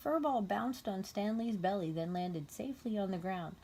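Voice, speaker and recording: A woman reading a children's story aloud, pausing to explain things simply and patiently to a young child. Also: medium pitch, a little mellow. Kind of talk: read-aloud story narration with casual asides to a child.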